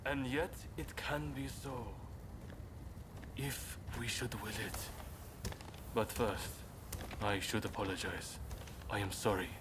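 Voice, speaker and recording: An actor playing a solemn, conciliatory samurai statesman who speaks formally and deliberately in a video game cutscene. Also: on the low side, fairly even intonation, background noise. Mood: solemn, contrite. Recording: music behind the voice.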